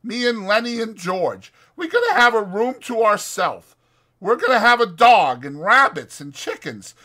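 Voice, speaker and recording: A man voicing a stubborn, excitable old swamper with a rustic, ungrammatical drawl for a dramatized audiobook. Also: high voice, a little slow, pitched above the performer's own voice, heavily acted. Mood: defiant, fervent.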